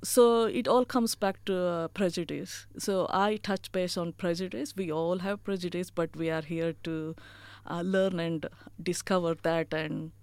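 A woman's voice: lowish voice, steady tone, measured pace, clean tone, no echo. Earnest woman with an Indian accent. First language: not English. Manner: earnest, many "you know" fillers. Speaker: chief executive officer. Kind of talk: interview answers.

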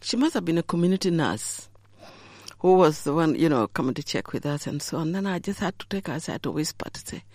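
A woman's voice: low voice, lively intonation, fast, darkish tone, no room sound, clean studio sound. A middle-aged woman from Uganda telling stories in an interview, laughing often and acting out the voices of people she quotes. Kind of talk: storytelling in an interview.

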